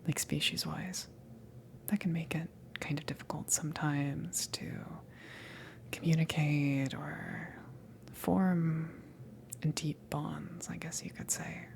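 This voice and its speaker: A woman voicing a friendly, flustered alien ship crewmember in a soft ASMR roleplay, speaking in a hesitant, breathy half-whisper. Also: low voice, fairly even intonation, a little hiss or hum, exaggerated voice. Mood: awkward, chatty. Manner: hesitant, awkward, giggly, halting.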